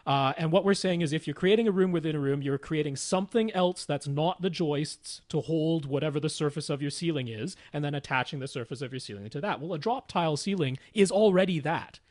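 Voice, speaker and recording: A man's voice: a little high, a little fast, few pauses, darkish tone, dry recording, studio quality. A man co-hosting a podcast, casually explaining technical matters in a methodical, step-by-step way. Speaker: podcast co-host.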